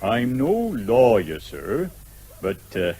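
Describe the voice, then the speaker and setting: An actor playing a patient, deferential village constable with a respectful, matter-of-fact way of talking in a comic radio play. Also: male voice, medium pitch, wildly swooping pitch, takes it slowly, warm tone, slight background noise. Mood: stolid, deferential. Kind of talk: comic radio drama acting.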